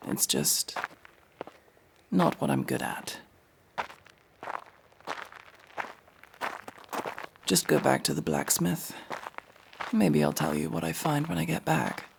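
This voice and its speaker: A man's voice: fairly high pitch, fairly even intonation, measured pace, gravelly, long pauses, background noise. A man playing an aloof, prickly elf mercenary in a fantasy ASMR roleplay, speaking in a curt, sardonic, exasperated manner. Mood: curt, dismissive. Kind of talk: ASMR fantasy roleplay, acted monologue to listener.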